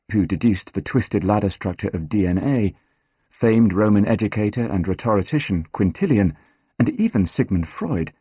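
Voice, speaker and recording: A man reading scripted nonfiction as an audiobook narrator, measured and articulate, with dramatic emphasis. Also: on the low side, slightly rough, no echo, muffled recording.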